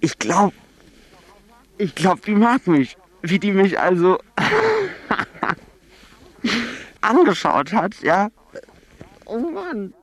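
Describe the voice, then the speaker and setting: An actor playing a lonely, eager teenage boy who confides haltingly in his home tape recorder, in a radio drama. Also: mid-range voice, big pitch swings, no room sound, exaggerated voice. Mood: shy, excited. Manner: halting, naive, confiding, testing the microphone. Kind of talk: home tape diary in a fictional radio feature.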